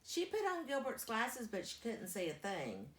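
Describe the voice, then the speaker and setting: A woman reading a children's story aloud as a storyteller, with deliberate, emphatic phrasing. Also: fairly high pitch, wildly swooping pitch, a bit bright. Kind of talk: expressive children's storybook narration. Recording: echoey, roomy sound.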